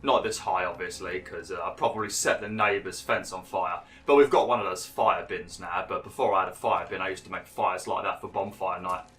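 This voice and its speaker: A young man doing chatty, rambling gameplay commentary, a little hoarse and nasal from being ill. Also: low voice, brisk, hardly any pauses, fairly bright tone. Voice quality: slightly nasal, froggy throat. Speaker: gaming YouTuber.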